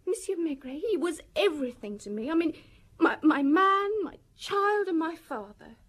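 Young working-class moll, radio play, high pitch, grieving, defiant, blunt colloquial talk. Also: female voice, animated, moderate pace, fairly bright tone. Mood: shaken, defensive. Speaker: actress in radio drama. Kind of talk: radio drama acting.

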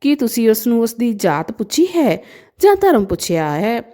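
A woman's voice: a little high, sing-song, normal speed, warm tone. A woman storyteller voicing a disapproving village headman, formal and probing.

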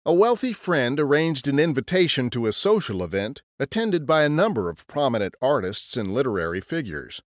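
Male podcast narrator, mid-pitched and expressive, scripted storytelling in a dry recording. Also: fairly quick, few pauses, no room sound.

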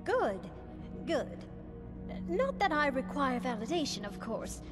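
Fussy mage in an echoing hall. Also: female voice, high-pitched, very animated, takes it slowly, exaggerated voice. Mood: anxious, defensive. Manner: fussy, prim, formal. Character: insecure, prickly female mage scholar. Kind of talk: fantasy game dialogue. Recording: long hall reverb, music behind.

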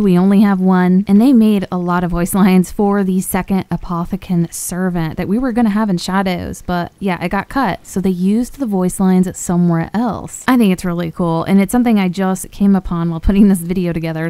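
A woman's voice: on the low side, dark tone, clean tone, no room sound. A woman hosting a gaming video with casual, chatty explanatory commentary, recorded as a close voice-over. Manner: chatty, conversational.

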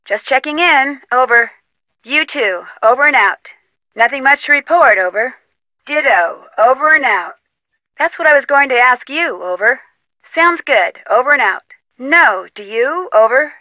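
A young American woman playing a plucky, determined detective in an adventure game, speaking earnestly and clearly. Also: high voice, expressive, unhurried, no echo, lo-fi sound. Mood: brisk, businesslike. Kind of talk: scripted game dialogue.

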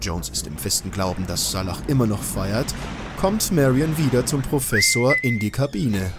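A man's voice: medium pitch, animated, moderate pace, very noisy. A man narrating a scripted audio drama as a storyteller. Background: loud music.

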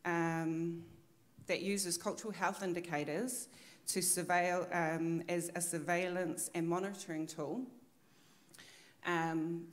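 A woman in her thirties with a New Zealand accent, speaking on a conference panel into a handheld mic, measured and pausing often with "um". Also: lowish voice. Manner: measured, frequent "um" pauses. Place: large conference hall.

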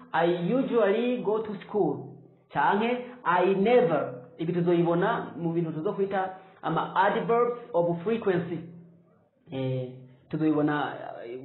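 Male teacher in his 30s, high voice, slow drilled phrases, phone-like echoey room recording. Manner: slow, drawn-out model phrases. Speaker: English teacher. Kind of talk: whiteboard language lesson. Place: small room with whiteboard.

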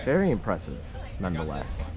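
A man's voice: sits low, huge pitch swings, brisk. A young man filming outdoors, giving playful, rambling commentary as he teases the people around him. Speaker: camera operator giving commentary. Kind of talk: casual joking commentary. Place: outdoor parking lot by a boat on a trailer. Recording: outdoor camera mic with noise.

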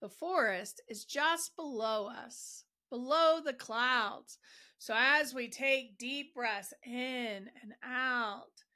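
Woman, meditation guide, bright clear-edged tone, very unhurried pace, gentle soothing delivery. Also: high-pitched.

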